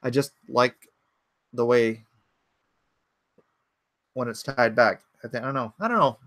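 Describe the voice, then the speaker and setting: A man's voice: medium pitch, unhurried, fairly bright tone, no room sound. A man in his forties hosting a casual livestream from his home computer, rambling and thinking aloud as he answers chat comments. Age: 40s. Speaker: livestream host.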